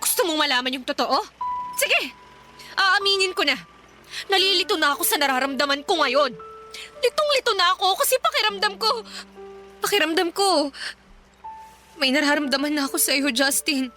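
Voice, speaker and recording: A woman's voice: high-pitched, lively intonation, normal speed, pauses often, pushed and bright, faint music behind. An actress playing a torn, evasive young engaged office woman in a Filipino radio drama. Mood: agitated, torn. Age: young adult.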